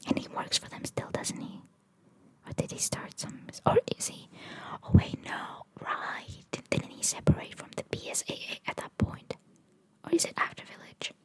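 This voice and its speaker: A woman's voice: moderate pace, low-quality recording. A woman whispering ASMR-style as she reads out trivia and adds informal, musing asides. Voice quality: soft, unvoiced whisper. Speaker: ASMR content creator. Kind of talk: whispered ASMR reading of trivia with casual commentary.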